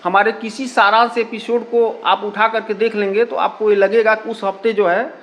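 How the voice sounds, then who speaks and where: A man's voice: sits high, flat delivery, moderate pace, fairly bright tone. A man giving explanatory interview answers, repeating himself and mixing in English words. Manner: repetitive, peppers Hindi with English words and filler phrases. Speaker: community radio station representative being interviewed.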